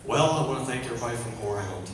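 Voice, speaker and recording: A man giving an informal, rambling award speech on stage with a halting delivery. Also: medium pitch, animated. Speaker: award presenter at an induction ceremony. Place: large convention center hall, live event. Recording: echoey noisy hall, distant sound.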